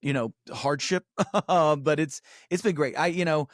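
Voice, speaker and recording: A man's voice: fairly high pitch, unhurried, no room sound, clean studio sound. A middle-aged man chatting casually as a podcast guest, telling rambling, wry, profanity-laced stories with colorful turns of phrase. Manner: animated, profane, wry philosophizing with colorful comparisons. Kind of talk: casual chat, rambling storytelling.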